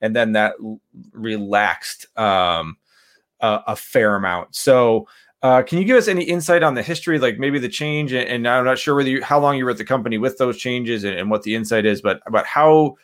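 A man's voice: medium pitch, brisk, a bit bright. A man hosting a podcast, chatting and joking with listeners and rambling into his questions. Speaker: podcast host. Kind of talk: casual interview.

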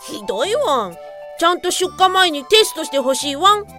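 A woman's voice: very high voice, sing-song, moderate pace, bright, forceful tone, faint music behind, exaggerated voice. A woman voicing a cute, indignant little dog sidekick in an audio drama. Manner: ends sentences with a cute woof. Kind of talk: Japanese audio drama acting.

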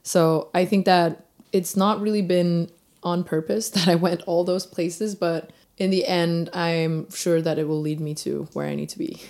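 Young danish-born woman rapper, lowish voice, lots of likes and restarts. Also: flat delivery, unhurried, darkish tone. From Denmark. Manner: chatty, many fillers and restarts. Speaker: rapper guest. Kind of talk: casual interview.

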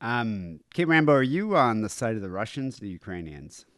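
A young man co-hosting a podcast, chatting sarcastically about politics, swearing and halting with fillers. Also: on the low side, big pitch swings, a bit bright, no room sound, studio quality. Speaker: podcast co-host. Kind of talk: casual political chat.

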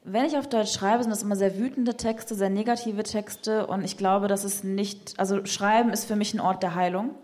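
A woman's voice: mid-range voice, steady tone, moderate pace, few pauses, darkish tone. A woman poet in conversation, speaking reflectively and searching for words with frequent fillers. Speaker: poet guest.

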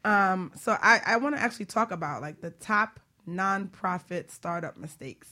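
A woman talk show host with a bright, forward voice. She chats casually as an interviewer and coach, informal and expressive, with slangy turns of phrase. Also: medium pitch, moderate pace.

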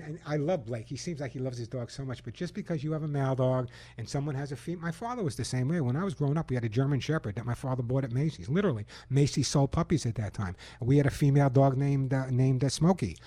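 A man's voice: on the high side, warm tone, dry recording. Man, radio pet show host, self-assured lecturing tone, close dry studio mic. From Long Island, New York. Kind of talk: call-in radio advice and live reads. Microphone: studio microphone.

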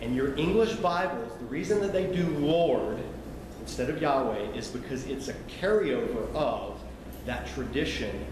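A man's voice: mid-range voice, animated, normal speed. Man, sermon, conversational teaching, very echoey hall, noisy room ambience. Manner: conversational, explanatory, asides to listeners. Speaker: preacher.

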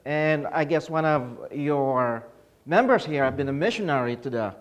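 A man's voice: fairly high pitch, animated, moderate pace. Filipino-born man giving a church talk. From Philippines. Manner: explanatory, asks the audience questions. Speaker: conference speaker. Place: conference hall.

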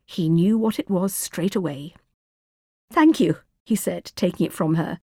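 A woman's voice: lowish voice, moderate pace, gravelly, warm tone, husky, dry recording. Woman narrating a novel, very dry studio sound. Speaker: audiobook narrator. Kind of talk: scripted fiction narration.

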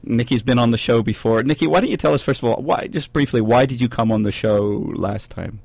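A man's voice: medium pitch, fairly quick, gravelly, darkish tone, no room sound. A man hosting a radio studio panel, hesitant and searching for his words. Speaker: radio show host.

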